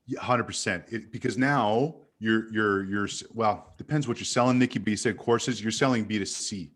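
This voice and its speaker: A man from Montreal, an animated guest who tells stories and corrects himself as he chats on a video call. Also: lowish voice, normal speed. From Canada. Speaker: guest sales speaker. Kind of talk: casual video-call chat.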